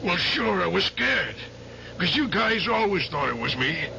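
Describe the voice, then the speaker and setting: Wounded ex-soldier, high gravelly voice, slow pleading delivery, dull hissy old radio sound. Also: male voice, sing-song, bright, forceful tone, full character voice. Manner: pleading, defensive. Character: wounded fearful male ex-soldier recluse. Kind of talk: 1970s radio drama acting.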